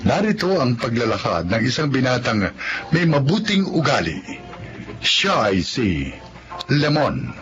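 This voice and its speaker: Animated Filipino male radio announcer. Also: medium pitch, a little slow. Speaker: radio drama announcer and narrator. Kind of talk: scripted dramatic narration. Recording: dull lo-fi broadcast over music.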